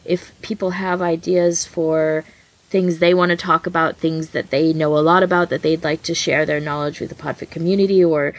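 A woman with a low-pitched voice talks casually as a podcast co-host. She rambles conversationally, looping through asides and filler words such as "like" and "you know". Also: steady tone, moderate pace, low-quality recording. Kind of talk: casual chat.

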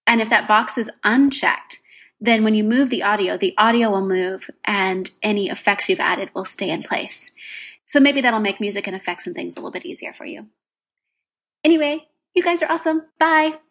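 Woman, slightly husky, listener talkback, casual how-to explanation. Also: mid-range voice, normal speed, a bit bright. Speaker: listener calling in with a tip.

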